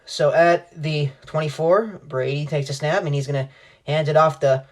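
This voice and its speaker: A man's voice: fairly high pitch, steady tone, normal speed. A young man giving play-by-play football commentary as a sportscaster. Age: young adult.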